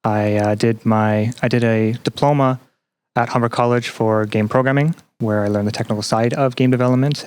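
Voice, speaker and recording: A man with a fairly low voice speaks as a guest on a podcast. He talks casually and haltingly, often pausing to find the word he wants. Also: darkish tone. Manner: halting, searching for words. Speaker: podcast guest, graduate student. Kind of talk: casual interview chat.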